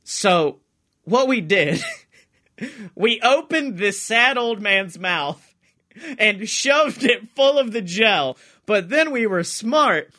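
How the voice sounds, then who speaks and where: A man co-hosting a podcast with casual comedic chat and stories, sweary, giggly and excitable. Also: high-pitched, slow. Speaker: podcast co-host.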